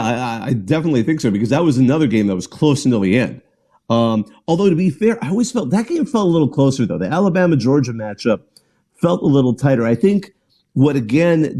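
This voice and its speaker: A man's voice: medium pitch, dark tone. A man hosting a live call-in sports show, chatting casually and rambling with restarts and small laughs. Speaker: podcast host.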